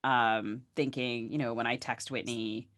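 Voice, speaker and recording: A woman's voice: extremely low pitch, steady tone, unhurried, a bit bright, no room sound, studio quality. A woman co-hosting a podcast, asking conversational interview questions in an exploratory, loosely phrased way. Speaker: podcast co-host.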